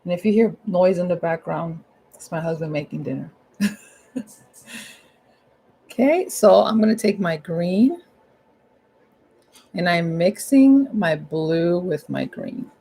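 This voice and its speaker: A woman with a hoarse, husky voice giving a casual, chatty step-by-step painting tutorial at home, repeating her instructions in informal language. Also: on the low side, expressive, takes it slowly, long pauses, warm tone.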